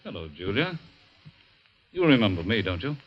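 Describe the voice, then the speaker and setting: An actor playing a calm middle-aged family doctor in 1940s radio drama, measured, reassuring and gently probing. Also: male voice, lowish voice, very noisy. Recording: dull vintage radio recording.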